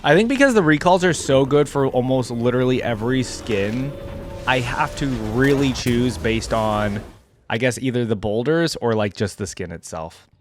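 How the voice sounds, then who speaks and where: A man's voice: medium pitch, moderate pace, slight background noise. A man giving casual reaction commentary as a gamer, thinking aloud in an informal way. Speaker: gamer reacting to game character skins.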